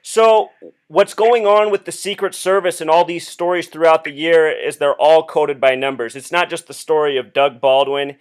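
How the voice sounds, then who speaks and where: A man speaking as a caller on a phone call, earnestly explaining his theory in an insistent, deliberate, questioning way. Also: a little high, fairly even intonation, clear, resonant tone. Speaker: caller phoning the police with a theory.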